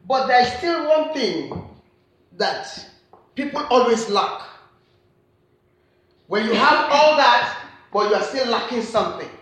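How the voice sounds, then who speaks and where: A woman with a Nigerian accent delivers a sermon, her voice bright and forceful. She presses her points through earnest repetition, and the church hall around her rings with heavy echo. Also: a little high, animated, takes it slowly, pauses often. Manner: earnest, repetitive emphatic phrasing. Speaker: preacher.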